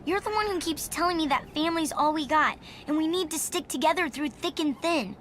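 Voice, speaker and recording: An actress playing a bold, impatient young daughter in a video game, sassy and urgent in manner. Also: female voice, high voice, brisk, a bit bright, a little hiss or hum. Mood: urging. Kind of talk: video game cutscene dialogue.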